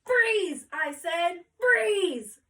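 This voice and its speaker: A woman voicing an excitable male school photographer in a children's story, pleading and yelling with syrupy baby talk. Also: extremely high pitch, drawn-out words, pitched above the performer's own voice, heavily acted. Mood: furious yelling. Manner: yelling, begging, sweet-talking with baby talk. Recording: roomy echo, distant mic.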